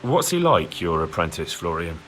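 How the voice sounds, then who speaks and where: A man's voice: low-pitched, big pitch swings, moderate pace, a bit bright, faint music behind. An actor playing an earnest, plain-spoken young man who asks questions in a video game. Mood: curious. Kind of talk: video game dialogue acting.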